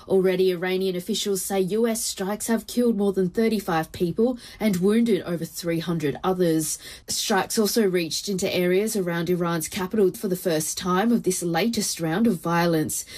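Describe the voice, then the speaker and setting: A woman's voice: lowish voice, darkish tone. A woman reporting international news for radio from a script.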